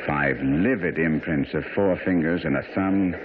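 Shrewd bossy victorian detective guy, low voice, noisy muffled old radio drama. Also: measured pace. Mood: grave, insistent. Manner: incisive, authoritative questioning. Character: shrewd, commanding male Victorian consulting detective. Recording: noisy, band-limited old radio broadcast.

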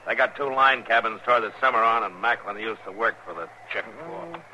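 An actor with an American accent playing a stubborn, stern frontier marshal, terse and matter-of-fact, in a 1950s radio western. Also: male voice, on the low side, fairly quick, sharp tone. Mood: determined, stern. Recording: muffled, hissy 1950s radio recording.

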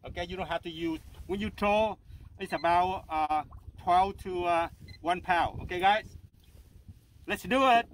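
A man hosting a casual instructional fishing vlog out on a small open boat, explaining haltingly with repetition and frequent check-ins. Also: high-pitched, slight background noise, rough recording. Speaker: host of a fishing channel. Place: small open motorboat out on a bay.

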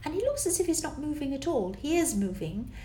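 A tired woman with a slightly croaky voice, giving a casual tarot reading to camera in a rambling, encouraging way full of fillers. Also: high-pitched, big pitch swings, a little fast, dark tone, slight background noise. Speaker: tarot reader. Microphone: camera with external microphone.

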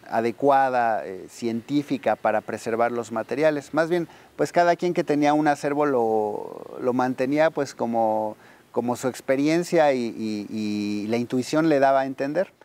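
A man with a clear, resonant voice of medium pitch. He explains things as an interviewee, thoughtful and hesitant as he searches for words. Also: darkish tone. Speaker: archive deputy director, interviewee. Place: garden outdoors. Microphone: lapel.

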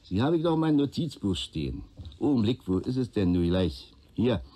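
An actor playing a talkative, self-justifying peddler accused of arson in a radio play, colloquial, rambling and sardonic. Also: male voice, fairly low pitch, very animated, normal speed, dark tone. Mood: wry.

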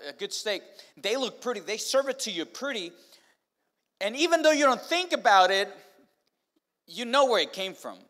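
A man's voice: high voice, a bit bright. A middle-aged man from Mexico with a Mexican Spanish accent, preaching a casual, humorous sermon on a large stage into a handheld mic, full of fillers and restarts. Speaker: pastor. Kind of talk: casual sermon to college students. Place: large stage of a church or college auditorium. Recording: long hall reverb.

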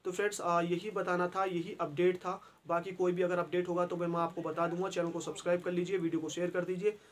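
Young male host, high voice, earnest and repetitive, to-camera in a small room. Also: flat delivery. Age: young adult. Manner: earnest, repetitive, many filler pauses. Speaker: exam-news channel host. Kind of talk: explanatory talk to camera. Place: small indoor room by a brick wall.

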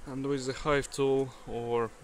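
A non-native English-speaking man, a beekeeper, demonstrating outdoors in a grassy field and talking haltingly with many fillers. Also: medium pitch, level tone, a little hiss or hum. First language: not English. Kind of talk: hands-on demonstration. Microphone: handheld camera mic. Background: bees buzzing.

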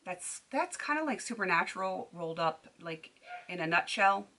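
A woman's voice: on the low side, expressive, normal speed, bright, forceful tone. A woman in her thirties chatting to camera at home about makeup, rambling with lots of ums and restarts. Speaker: beauty vlogger. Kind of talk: casual chat to camera. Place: bedroom at home. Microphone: camera at desk distance.

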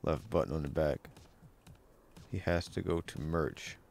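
A young man with a very deep voice casually commenting on his game, laid-back and swearing a lot. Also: measured pace, gravelly, a little mellow, slight background noise. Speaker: game streamer. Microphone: studio mic with bass roll-off.

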